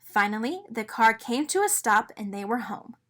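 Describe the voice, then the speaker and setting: A woman reading a children's storybook aloud in an expressive narrating style. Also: a little high, normal speed. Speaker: storybook reader.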